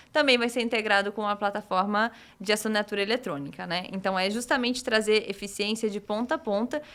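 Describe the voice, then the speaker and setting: A woman with a Brazilian Portuguese accent, a guest on a podcast, explaining things in a measured way and often asking "né?". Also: mid-range voice, a bit bright. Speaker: legal tech guest. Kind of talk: podcast interview answers.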